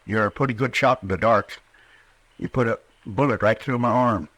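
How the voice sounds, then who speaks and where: A man's voice: on the low side, normal speed, fairly bright tone, rough recording. A man acting an ornery, blustering old frontier father with a rural American accent in a 1950s western radio drama. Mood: grudging, defensive. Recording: vintage radio drama recording.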